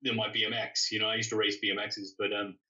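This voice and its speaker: A man chats casually in a bright, forceful voice, often doubling back on his words. He sounds a little far from the microphone, and the recording is somewhat dull. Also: on the low side, little pitch movement, quick pace. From born in England, grew up in Canada. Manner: rambling, self-correcting, self-deprecating. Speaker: interview guest, custom motorcycle builder. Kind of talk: casual conversational storytelling.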